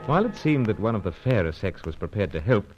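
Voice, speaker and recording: An actor playing a suave, unflappable secret agent in an old radio serial, with clipped, plummy speech. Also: male voice, fairly low pitch, big pitch swings, fast, faint music behind. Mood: dramatic narration. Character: suave British male secret agent, jaunty, unflappable, narrating. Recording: dull old radio recording.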